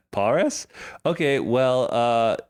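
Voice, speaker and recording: A man's voice: medium pitch, animated, takes it slowly, darkish tone, clean tone. A man from Canada co-hosting a podcast in casual comedic chat, joking and laughing often. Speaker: podcast co-host.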